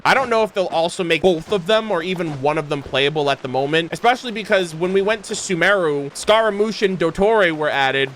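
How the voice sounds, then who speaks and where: A man's voice: fairly high pitch, sharp tone. A man chatting casually on a livestream as its host, gushing and effusive, with some mild swearing. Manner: gushing, effusive, mildly profane. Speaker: streamer. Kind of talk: casual reaction livestream chat.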